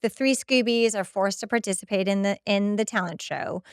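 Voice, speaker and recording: A woman hosting a casual TV rewatch podcast, talking in an animated, conversational way with incredulous asides. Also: mid-range voice, moderate pace, clear, resonant tone, no echo, clean studio sound. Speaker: podcast host.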